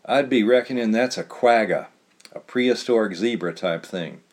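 A man's voice: fairly low pitch, moderate pace, darkish tone, close to an everyday voice. A man doing a boisterous old cowboy drawl. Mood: gleeful. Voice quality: folksy drawl.

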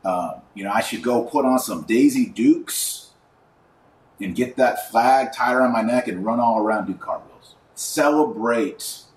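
A man's voice: medium pitch, unhurried, reverberant, far from the mic. A man hosting a religious commentary podcast, speaking emphatically and indignantly, with rhetorical questions and sarcastic asides.

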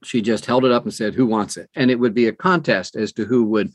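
Older man with a low voice. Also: steady tone, fairly quick, no echo, clean studio sound. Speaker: interview guest, audiobook narrator. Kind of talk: conversational interview, telling anecdotes.

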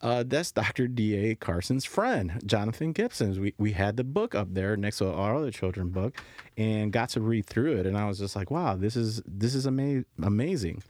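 A man hosting a podcast, chatting casually and haltingly with lots of fillers. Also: fairly low pitch, animated, darkish tone, no room sound. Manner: friendly, halting, many fillers. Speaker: podcast host. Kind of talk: casual interview chat.

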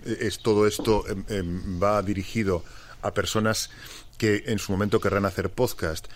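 Low-voiced, halting Spanish man. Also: steady tone. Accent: Spain. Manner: halting, hesitant phrasing. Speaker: podcast interviewer. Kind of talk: casual interview.

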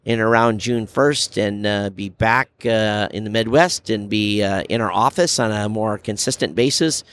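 A man hosting a podcast in a casual, chatty style, with halting phrasing. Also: lowish voice, a bit bright. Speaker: podcast host. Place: booth in a very large trade show hall. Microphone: podcast microphone.

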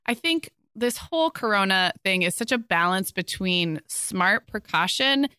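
A woman with a slightly hoarse voice, co-hosting a relaxed podcast chat. Her tone is bright and clear, recorded close and dry, and she talks her thoughts through as she goes. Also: mid-range voice, unhurried, dry recording. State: hoarse from a cold. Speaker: podcast co-host. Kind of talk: casual conversational chat.

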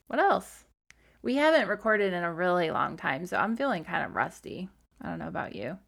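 Low, gravelly-voiced woman chatting. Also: very animated, a little fast, fairly bright tone. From southern Missouri, USA. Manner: candid, reflective storyteller. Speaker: podcast co-host. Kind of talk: casual chat.

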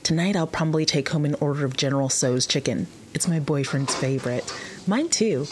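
A chatty, helpful food server, played by a woman, talks casually in an audio drama. The voice sits a little low, and there is slight noise in the recording. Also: a little fast, natural voice. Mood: chatty, explaining. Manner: casual, conversational. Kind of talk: full-cast audio drama acting.

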